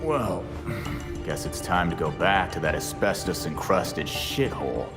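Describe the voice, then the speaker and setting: A man's voice: low-pitched, expressive, unhurried. A man playing a cynical, blunt, wisecracking vigilante in a video game cutscene. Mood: sardonic, wary. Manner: sardonic. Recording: very loud music behind.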